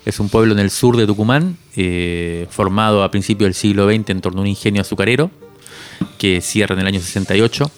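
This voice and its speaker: A man in his forties from Cuba, speaking reflectively as an interviewed author, often halting as he searches for words. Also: fairly low pitch, faint music behind.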